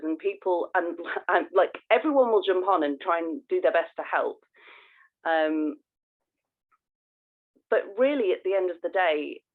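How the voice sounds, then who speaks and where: An effusive podcast co-host in casual conversation, with a mid-pitched voice. The talk comes as thinking aloud, heard through thin, narrow-band call audio.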